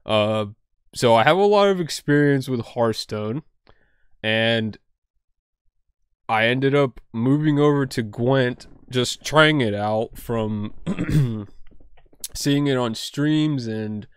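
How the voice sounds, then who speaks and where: A man's voice: mid-range voice, slow pace, long pauses, smooth, dry recording, clean studio sound. A young man talking casually to camera at home, rambling with lots of fillers and poking fun at himself. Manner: rambling, many fillers and "like", self-deprecating. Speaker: card game content creator.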